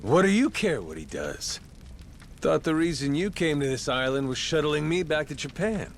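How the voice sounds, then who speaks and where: A man's voice: on the high side, sing-song, normal speed, a little hiss or hum. A man playing a brash, playful Yakuza in a video game, with a cocky, profane, slangy drawl. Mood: earnest, insistent. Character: brash, playful, rough-talking Yakuza man who lost his memory. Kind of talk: video game cutscene acting.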